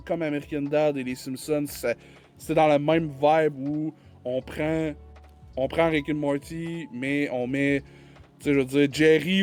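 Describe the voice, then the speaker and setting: A man with a Quebec French accent talks as a podcast guest, circling his thoughts with repeated words and restarts. His voice sits a bit high for a man, and faint music plays quietly underneath. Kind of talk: casual conversational chat.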